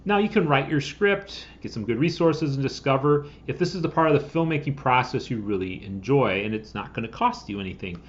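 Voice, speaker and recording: A man in his forties talks to camera as the host of a review video. His voice sits at an average pitch, and he explains things in a casual, conversational way. The sound is a little dull, like a webcam recording made at home. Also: expressive, brisk, few pauses. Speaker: host of a screenwriting software review channel. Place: room at home, indoors. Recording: slightly dull webcam audio.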